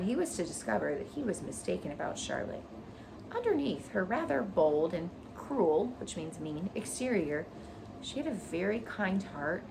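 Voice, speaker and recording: A woman teacher reading a children's story aloud expressively, pausing to explain things to young listeners. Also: fairly low pitch, creaky, background noise.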